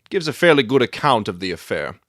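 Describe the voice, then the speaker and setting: A man playing a self-assured gentleman detective in a dramatic audiobook, theatrical and articulate as he expounds his deductions. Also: medium pitch, big pitch swings, fast talker, no echo, studio quality. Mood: musing, assured.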